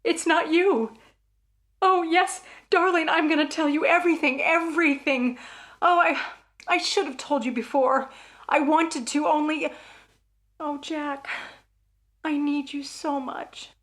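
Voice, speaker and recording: High-pitched, frightened, pleading wife. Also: female voice, fairly even intonation, a little slow, long pauses, fairly bright tone. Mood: anxious, pleading. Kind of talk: old-time radio mystery acting.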